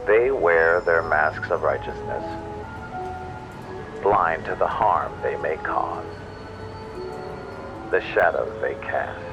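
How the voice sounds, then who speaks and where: Man as a deep, slow, brooding night radio host. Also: dull audio. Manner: slow, solemn, measured with long pauses. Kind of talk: scripted late-night radio monologue. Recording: loud music under the voice.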